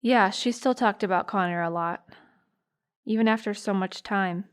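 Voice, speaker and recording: A woman acting a thoughtful, forgiving teenage girl who gives measured testimony in an audio drama. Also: medium pitch, clear, resonant tone, lightly acted. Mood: reflective. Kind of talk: audio drama monologue, police interview testimony.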